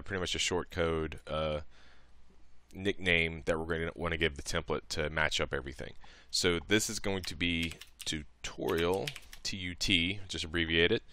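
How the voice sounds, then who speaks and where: A man with an extremely low, bright voice walks through software steps as a tutorial presenter. He explains things informally, one step at a time, in a casual narration. The sound is clean and dry, close to the listener. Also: clear, resonant tone, no echo.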